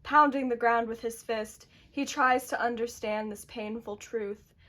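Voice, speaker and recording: A woman's voice: fairly high pitch, steady tone, a little slow, a bit bright. A woman narrator reading scripted dramatic storytelling for a puppet show.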